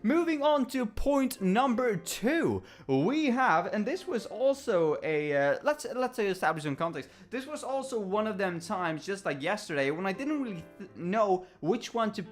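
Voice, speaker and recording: A man with a Swedish accent vlogging in a casual, rambling way, often correcting himself and filling pauses with ums and uhs. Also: high voice, very animated, soft background music. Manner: rambling, self-correcting, lots of fillers and restarts. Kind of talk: casual talking-head reflection.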